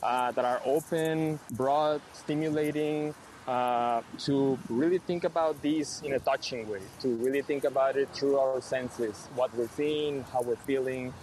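A man from Mexico presents an unscripted radio conversation from outdoors. His pitch is average and he often restarts phrases while searching for words. Light street noise sits behind him. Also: steady tone, measured pace, a little mellow, rough recording. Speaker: visual artist hosting a radio conversation. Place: outdoors, outside a detention center. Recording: faint outdoor traffic noise.

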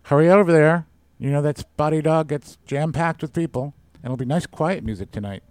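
A man from New York hosting a casual radio interview, upbeat and jokey, quick to chime in. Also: fairly high pitch, darkish tone, smooth, no room sound. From Yonkers, New York. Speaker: radio show host.

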